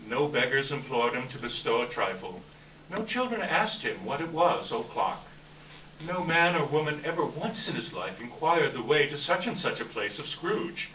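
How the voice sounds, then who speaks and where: Bright-voiced man reading aloud in echoey room. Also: mid-range voice, big pitch swings, normal speed. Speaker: event presenter and story reader. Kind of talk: welcome remarks and dramatic live story reading. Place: library event room before an audience. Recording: echoey room, distant mic, faint noise.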